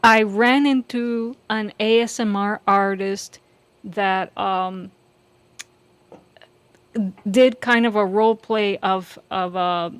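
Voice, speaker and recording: A woman's voice: mid-range voice, steady tone, takes it slowly, clear, resonant tone, no echo. A woman co-hosting a livestream in casual, conversational chat.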